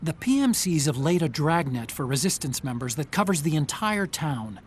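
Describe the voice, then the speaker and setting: An actor playing an earnest, brainy tech ally in a video game, giving clear, urgent mission briefings. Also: male voice, a little high, very animated, normal speed, a little hiss or hum. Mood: explanatory.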